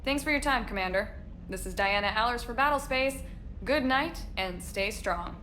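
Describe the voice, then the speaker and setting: A woman's voice: a little high, expressive, moderate pace, sharp tone, slight background noise. A woman playing a bold, probing war correspondent in a sci-fi video game. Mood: professional, probing. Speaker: war reporter. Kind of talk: video game dialogue acting.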